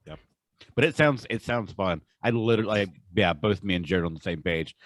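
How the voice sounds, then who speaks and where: A man with a fairly low voice talks casually as a podcast co-host. The sound is very dry with no room echo. He is informal, slangy and free with his opinions. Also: normal speed, a bit bright.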